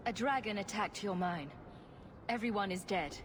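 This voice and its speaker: A woman's voice: medium pitch, normal speed, big-room sound, very noisy, lightly acted. An actress playing a sarcastic female rogue adventurer in a video game, flippant and dryly humorous. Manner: flippant, dry humour. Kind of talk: dramatic video game dialogue.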